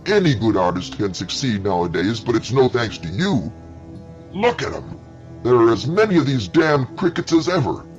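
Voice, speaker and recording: A man voicing a bitter, hot-tempered male painter who shouts in exasperation, on a dull recording with faint music. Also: medium pitch, sing-song, moderate pace, natural voice.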